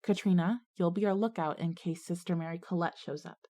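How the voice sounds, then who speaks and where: A woman's voice: on the low side, steady tone, brisk, dark tone, lightly acted. A woman playing a resourceful, take-charge schoolgirl who both narrates and speaks in an audio drama. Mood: decisive. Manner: decisive, organizing. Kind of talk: audio drama dialogue and first-person narration.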